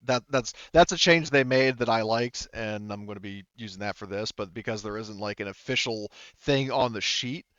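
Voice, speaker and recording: A man with a smooth, clean voice runs a tabletop game, talking through rules and combat. He rambles in broken-off phrases, and the recording is dry and a little dull. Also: lowish voice, normal speed, hardly any pauses, a bit bright, no echo. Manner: rambling, talks in broken-off phrases with frequent 'like' and 'you know'. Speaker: game master.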